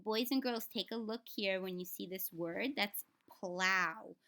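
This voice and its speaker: A woman's voice: mid-range voice, unhurried, bright, forceful tone. A woman reading a children's book aloud in a teaching way, speaking straight to the children and adding simple explanations. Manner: instructive, addresses children directly, sounds out words.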